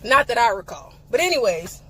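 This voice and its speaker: A woman's voice: on the high side, very animated, brisk, sharp tone, a little hiss or hum, poor audio. A young woman, telling a playful, sassy story in a casual vlog. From eastern North Carolina. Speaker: vlogger.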